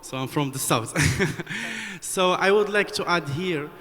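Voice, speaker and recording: A man from Morocco, a researcher speaking up from the audience at a conference, halting and earnest, repeating words for emphasis. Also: high-pitched, animated, fairly bright tone. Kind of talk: impromptu speech from the floor. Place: conference hall. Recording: long hall reverb, faint room noise.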